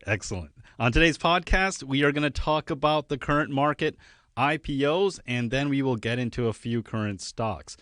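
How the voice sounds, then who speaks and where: A man's voice: mid-range voice, normal speed, a bit bright, dry recording. A man hosting a studio investing podcast, upbeat and affirming as he interviews his guest. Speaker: podcast host.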